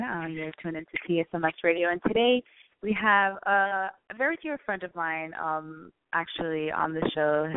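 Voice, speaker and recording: A woman's voice: fairly low pitch, smooth, no echo. A woman hosting an internet radio show, introducing it casually and without a script, in a hesitant, rambling way. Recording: thin phone-line sound.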